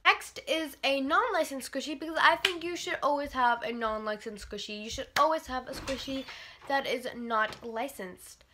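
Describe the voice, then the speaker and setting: A woman's voice: sits high, normal speed, pushed and bright, slight background noise. A teenage girl chatting casually in her bedroom, reviewing toys while congested from a cold, rambling with many fillers. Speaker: vlogger. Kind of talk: casual product review vlog. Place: bedroom, indoors.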